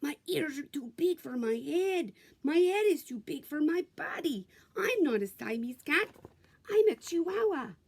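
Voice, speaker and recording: A mischievous young boy kitten from a read-aloud picture book, put on by a woman, bouncy and full of play. The voice sits high and leaps around, putting on a hammy mock Spanish accent when he pretends. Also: animated, moderate pace, gravelly, hardly any pauses, fairly bright tone, husky, full character voice. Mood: proud.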